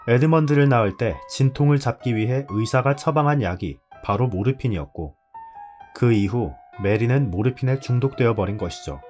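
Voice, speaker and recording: A man's voice: mid-range voice, a little mellow. A man narrating a drama's story in a scripted storytelling style. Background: background music.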